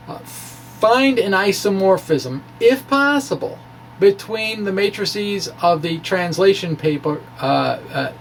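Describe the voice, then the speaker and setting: Male science blogger, slightly high voice, unhurried and hesitant, wry asides, light background noise. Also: poor audio. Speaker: physics blogger reading own post. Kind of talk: informal reading of technical blog with asides.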